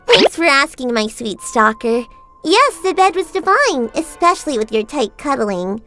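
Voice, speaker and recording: A bubbly, teasing teenage heroine, as a fan dubber plays her, high-pitched and bouncing all over her range. Clear music runs underneath. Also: very animated, normal speed, bright, forceful tone, exaggerated voice. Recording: music behind.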